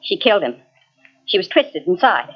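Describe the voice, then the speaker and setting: An actress playing a cold, jealous, unstable twin in an old-style radio mystery, with a clipped, haughty delivery. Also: female voice, mid-range voice, huge pitch swings, normal speed, vocal fry, slightly rough, heavily acted. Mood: brittle, feigned innocence. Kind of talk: 1940s radio mystery acting. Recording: dull lo-fi old radio tape.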